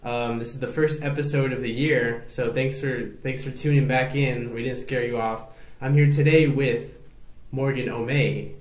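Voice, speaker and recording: A man's voice: mid-range voice, steady tone, moderate pace, roomy sound. A man hosting an interview show, asking long, winding questions and nodding along with frequent "mm-hmm"s. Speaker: host.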